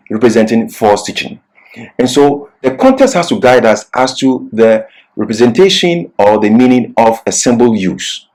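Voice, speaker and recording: A man giving an expository Bible sermon and reading scripture in an emphatic, deliberate, didactic manner. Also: mid-range voice, a little mellow, poor audio. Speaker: Bible teacher.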